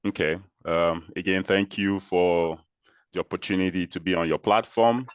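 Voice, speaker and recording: A man from Nigeria speaks with a very low, smooth and resonant voice, laying out his story in a measured, explanatory way. The sound is dry and a little dull, as over a video call. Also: fairly even intonation, no echo. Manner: measured, explanatory, with frequent pauses and restarts. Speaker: interview guest, social entrepreneur. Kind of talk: conversational interview answers.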